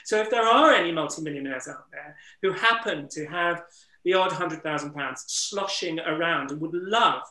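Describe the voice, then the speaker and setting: A man's voice: fairly high pitch, moderate pace, bright, forceful tone. A man hosting a webinar conversation, earnest and reflective, his voice strained from disuse. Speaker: interviewer and wildlife trust ambassador. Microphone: video call. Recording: roomy echo.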